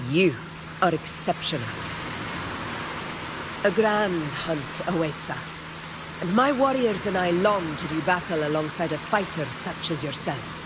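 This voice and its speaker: An actress playing a proud, commanding gladiator leader in a video game, speaking formally with stately self-assurance. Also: female voice, fairly low pitch, heavy background noise. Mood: respectful, stately. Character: proud, commanding female gladiator war leader, regal and battle-hungry. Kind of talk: dramatic video game character dialogue.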